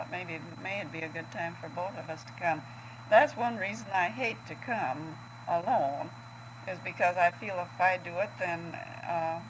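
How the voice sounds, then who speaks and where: An older American woman, a grandmother, rambles through a personal audio letter in a homely, halting way. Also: fairly low pitch. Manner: hesitant, homely, meandering. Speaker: grandmother recording a tape letter to family. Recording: noisy home reel-to-reel tape from 1970.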